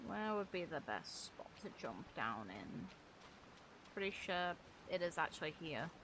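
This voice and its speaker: A woman's voice: on the low side, sing-song, unhurried, noisy background. A woman giving casual gameplay commentary, blunt and sweary as she talks to the game. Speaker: game streamer.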